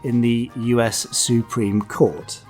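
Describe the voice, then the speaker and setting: A man's voice: medium pitch, flat delivery, takes it slowly, darkish tone, soft background music. A man hosting a light-hearted podcast, speaking in a wry, mock-serious and theatrical way. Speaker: podcast host. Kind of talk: light-hearted podcast chat with read-out segments.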